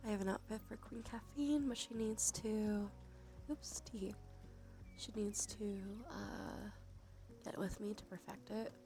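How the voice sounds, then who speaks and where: A woman hosting a casual ASMR livestream, chatting softly and narrating what she does, with a breathy voice that often slips into a whisper. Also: mid-range voice, fairly even intonation, a little slow. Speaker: livestream host. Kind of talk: casual ASMR stream chat. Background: background music.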